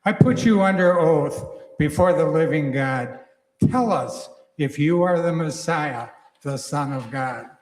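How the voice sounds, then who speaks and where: A man playing a stern, accusing high priest in a scripture drama, declamatory and demanding. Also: measured pace, darkish tone, close to an everyday voice. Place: large church, live reading. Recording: echoey church hall, long reverb.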